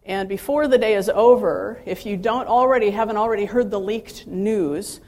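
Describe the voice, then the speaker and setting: A woman's voice: medium pitch, normal speed, a little mellow. Fifties lady giving a chatty faith talk, lots of ums and restarts, pokes fun at herself. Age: 50s. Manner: chatty, self-deprecating, many fillers and restarts. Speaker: speaker addressing ministry staff. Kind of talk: personal talk with scripture.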